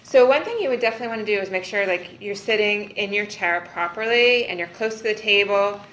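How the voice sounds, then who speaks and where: A woman explains a device patiently and plainly, pausing on frequent ums. Her voice comes from well away from the mic in a very echoey meeting room. A clear hiss of noise runs underneath. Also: mid-range voice, a bit bright, far from the mic. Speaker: occupational therapist demonstrating a device. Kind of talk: casual demonstration and Q&A.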